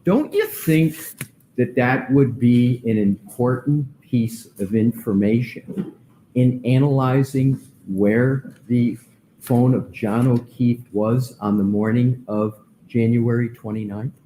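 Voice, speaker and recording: A male defense attorney cross-examining a witness in court, asking slow, pointed, deliberate questions. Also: mid-range voice, mellow, slight background noise, poor audio.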